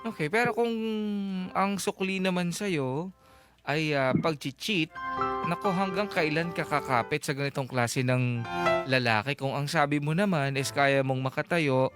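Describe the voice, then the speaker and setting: A man's voice: fairly high pitch, unhurried. A man hosting a radio advice show, giving conversational counsel and questions with English phrases mixed in. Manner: mixes English into his talk. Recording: music clearly playing behind the voice. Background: background music.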